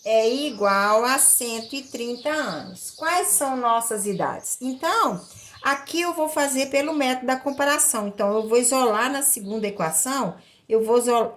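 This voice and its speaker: A woman's voice: fairly high pitch, a bit bright. A woman with a Brazilian accent explaining a lesson step by step as a maths teacher, in a didactic way with repeated phrases. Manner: didactic, repeats phrases and checks in with short tag questions.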